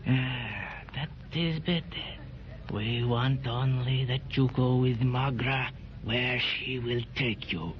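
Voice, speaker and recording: A man's voice: medium pitch, slow, slight background noise, lightly acted. A man plays a cold henchman in a 1930s radio serial, issuing clipped threats at a crawl. The old recording is muffled. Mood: threatening. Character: knife-wielding henchman, cold and curt. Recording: dull 1930s radio transcription.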